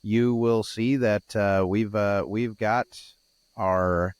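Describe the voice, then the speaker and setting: A man's voice: fairly low pitch, steady tone, takes it slowly, clean tone, no room sound, dull audio. A tired man co-hosting a podcast in casual chat, with a groggy, scratchy voice, rambling and restarting his sentences. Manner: rambling, with frequent restarts and fillers. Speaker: podcast co-host.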